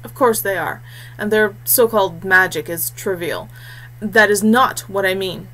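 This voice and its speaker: A woman's voice: mid-range voice, a little slow, a bit bright, rough recording. A woman voicing a cold, imperious ghostly male chief of a fort in a fantasy audiobook. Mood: contemptuous. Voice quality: oddly thin, resonant, clear.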